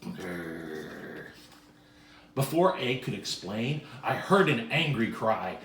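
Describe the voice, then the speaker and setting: A man reading a children's story aloud as narrator in an expressive style. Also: on the low side, slow, vocal fry, slight background noise. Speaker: storybook reader. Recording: echoey room sound.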